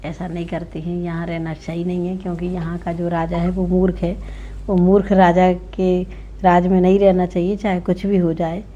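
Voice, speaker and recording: A woman's voice: fairly low pitch, level tone, normal speed, mellow, lightly acted. Woman as a cautious, admonishing uncle. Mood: cautioning. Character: cautious uncle warning his young nephew. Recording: dull lo-fi recording.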